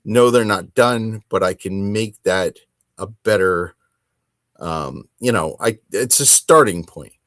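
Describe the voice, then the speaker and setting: A man's voice: lowish voice, takes it slowly, fairly bright tone, no echo, studio quality. A man hosting a casual solo hobby talk, conversational and emphatic, often correcting himself as he goes. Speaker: podcast host.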